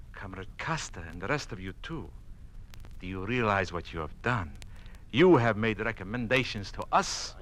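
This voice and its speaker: An actor playing a smoothly menacing Soviet party envoy in an old radio drama, formal, condescending and commanding. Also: male voice, on the low side, sharp tone, slight background noise. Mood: stern.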